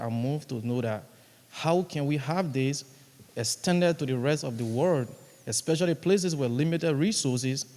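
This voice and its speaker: A man from Liberia, asking a question from the audience in a formal, measured way with fillers. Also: mid-range voice, a little mellow. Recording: long hall reverb.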